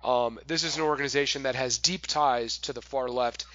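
A man's voice: mid-range voice, steady tone, normal speed, a bit bright, no room sound. Male political talk host, emphatic and pointed, tense tone, lo-fi close recording. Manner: emphatic, building intensity. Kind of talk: political interview.

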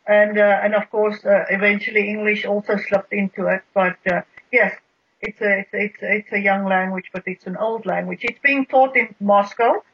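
Halting Afrikaans-accented speaker, husky, lo-fi. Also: medium pitch, flat delivery. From South Africa. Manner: halting, many repeated words and fillers. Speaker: guest. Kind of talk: radio interview, explaining history. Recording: dull lo-fi radio sound.

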